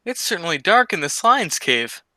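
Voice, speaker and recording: A man's voice: high-pitched, big pitch swings, moderate pace, bright, forceful tone, exaggerated voice. A man playing a cowardly, dim but wisecracking sidekick in a vintage-style comedy radio double act. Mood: naive, curious.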